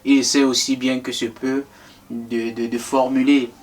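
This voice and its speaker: A young man from Cameroon answers interview questions in a halting, filler-heavy way, restarting his sentences often. His voice stays flat and even in pitch. He sounds a little distant from the microphone, as if on a call. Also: a little hiss or hum. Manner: hesitant, many fillers and restarts. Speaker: guest author.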